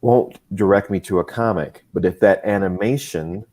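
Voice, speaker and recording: A comics creator in a casual discussion, speaking in a measured, halting way with frequent restarts. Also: male voice, low-pitched, warm tone.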